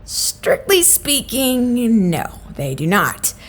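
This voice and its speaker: A woman playing an exasperated, no-nonsense supervisor in an audio drama, speaking in a dry, businesslike, sometimes halting way. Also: on the high side, very animated, full character voice. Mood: serious. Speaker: voice actor in a fiction podcast.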